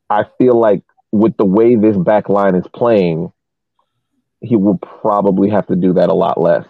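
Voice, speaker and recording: A man co-hosting a sports podcast, talking through a soccer game casually in a halting, measured way that repeats words. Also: fairly low pitch. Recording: roomy, thin phone-like call audio.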